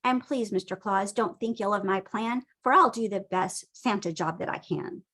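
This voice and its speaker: A woman's voice: mid-range voice, moderate pace, pushed and bright. A woman voicing an eager, scheming male skeleton from a storybook, theatrical and grandly persuasive.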